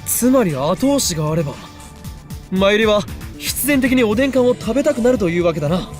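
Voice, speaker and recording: A theatrical young mad scientist in a visual novel. His voice sits a bit high and he speaks quickly, with pompous, commanding declarations. Soft music runs behind. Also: animated. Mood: commanding, scheming. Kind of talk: Japanese visual novel voice acting.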